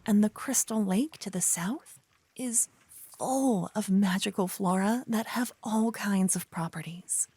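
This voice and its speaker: A woman playing a silly, adorable young paladin in an ASMR audio roleplay, teasing and theatrical, hushed in places. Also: mid-range voice, unhurried, husky, no room sound. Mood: teasing, smug. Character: adorable silly young female paladin, bossy, smitten, playful know-it-all.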